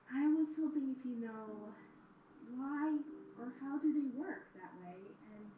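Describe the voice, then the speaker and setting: A woman's voice: high voice, a little slow, phone-quality sound. A woman voicing a young pony princess, an earnest, inquisitive and slightly awkward student in an audio drama. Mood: earnest, hesitant. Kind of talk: fan audio drama acting. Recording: echoey, distant, faintly noisy playback.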